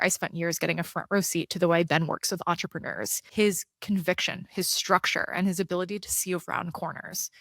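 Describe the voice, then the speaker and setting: Lady podcast host, lowish voice, asks questions, super dry close mic. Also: a bit bright, no echo. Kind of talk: interview.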